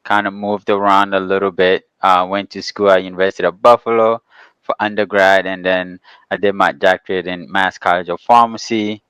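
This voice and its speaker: A man from Ghana speaks as an interview guest in a fairly low, clean and resonant voice. He explains things casually and haltingly. The recording is close and dry. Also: little pitch movement, a bit bright, clear, resonant tone, dry recording. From Ghana, later the Bronx, New York. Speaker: guest, real estate developer.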